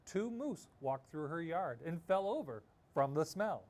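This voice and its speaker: Guy reading a kids book out loud, kinda high voice, super animated, dramatic pauses. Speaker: storybook reader. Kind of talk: expressive children's story read-aloud.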